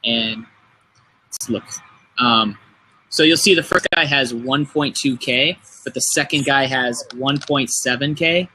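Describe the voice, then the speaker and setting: A man on a group call, explaining social media tips in a relaxed way with fillers. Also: medium pitch, a little slow, a little hiss or hum, poor audio. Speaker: guest growth hacker. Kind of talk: casual group video call.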